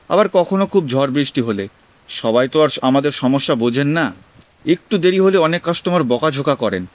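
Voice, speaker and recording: A man acting as an earnest food delivery rider in his twenties with a clear, resonant voice. He speaks in a polite, pleading way, explaining his troubles as in a radio play. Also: fairly high pitch, close to an everyday voice. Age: 20s. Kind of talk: audio drama acting.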